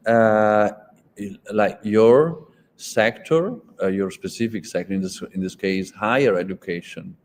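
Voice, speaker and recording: An Italian-speaking man interviewing a guest in English, halting and restarting often, with many fillers. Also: fairly low pitch, a little mellow. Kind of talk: conversational interview.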